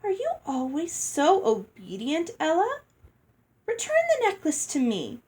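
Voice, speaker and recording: 여성이 연기하는 높은 목소리의 거만한 소녀. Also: female voice, high voice, wildly swooping pitch, unhurried, darkish tone, pitched above the performer's own voice, exaggerated voice. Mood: commanding. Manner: condescending, falsely sweet. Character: haughty, greedy, bossy girl, elder sister.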